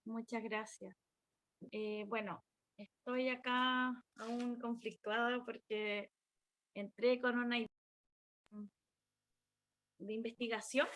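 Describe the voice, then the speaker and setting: Slow-speaking Chilean woman on a video call. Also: fairly high pitch, little pitch movement, fairly bright tone. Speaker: educational psychologist, doctoral student.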